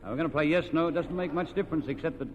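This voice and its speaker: A man's voice: a little high, very fast. A man hosting an old-time radio quiz, jovial and teasing, often repeating answers back to his contestants. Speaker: quiz show host. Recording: dull, noisy old radio recording, hall reverb.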